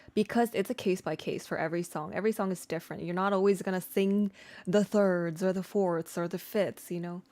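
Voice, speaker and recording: A woman streamer and singer giving an informal, chatty vocal tutorial, with slangy asides and replies to viewers. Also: medium pitch. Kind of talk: casual livestream tutorial with chat replies.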